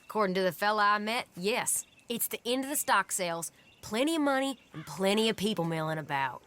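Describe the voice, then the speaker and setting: Woman playing a tough, forceful Western outlaw. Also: mid-range voice, animated, brisk, lightly acted. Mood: businesslike. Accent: American Western drawl. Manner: blunt, plain-spoken, confident. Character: tough, no-nonsense female American Old West outlaw. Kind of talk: video game cutscene acting.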